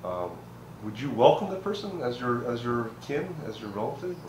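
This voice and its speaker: A man's voice: medium pitch, big pitch swings, normal speed, darkish tone. Probing male interviewer, roomy echo. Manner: probing, many restarts and backchannels. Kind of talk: oral history interview. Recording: echoey room with faint hiss.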